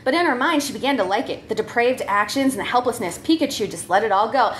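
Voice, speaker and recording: High-pitched, animated woman reading comic stories. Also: fast, very noisy. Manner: giggly, breaking into laughter as she reads. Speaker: comedy troupe member reading a story. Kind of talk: comic story reading to an audience.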